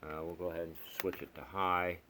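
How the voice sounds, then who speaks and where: A man with a very low voice walks through a casual hands-on demonstration. He is plainspoken and sounds like a hobbyist partly talking to himself as he works. A light layer of background noise sits under the homemade recording. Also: brisk. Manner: plainspoken, muttering to himself while working. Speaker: hobbyist radio reviewer. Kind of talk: casual unscripted product demonstration. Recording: steady background noise, amateur home video.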